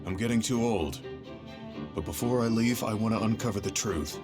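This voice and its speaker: Actor as a low-voiced weary veteran soldier. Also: male voice, moderate pace, lightly acted. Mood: weary, resolute. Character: weary, resolute ageing male veteran soldier. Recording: music behind the voice.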